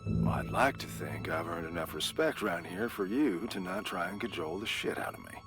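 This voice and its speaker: An actor playing a gruff, protective veteran security guard in a video game, with a wry, blunt, folksy manner. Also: male voice, deep voice, brisk, fairly bright tone, faint music behind. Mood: blunt, skeptical.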